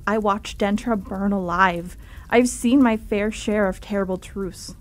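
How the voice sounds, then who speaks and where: A woman's voice: medium pitch, measured pace, close to an everyday voice. Actress as resolute young woman, mid-range voice, earnest, insistent, audio drama. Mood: pleading resolve. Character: determined young woman seeker in ancient Greece, grieving.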